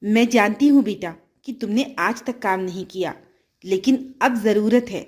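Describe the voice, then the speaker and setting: A woman's voice: medium pitch, a little slow, darkish tone, pitched below the performer's own voice, close to an everyday voice. A woman voicing a greedy, bossy stepmother in a children's fairy tale, with an insistent, demanding manner. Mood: stern, pressing.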